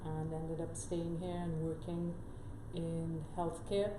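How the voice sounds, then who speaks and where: Woman, earnest explainer, slightly low voice, roomy echo, faint hiss. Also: level tone, slow pace. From Trinidad. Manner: earnest, explains step by step with frequent "right?". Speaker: guest expert. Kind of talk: explanatory talk show interview. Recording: echoey room with faint noise.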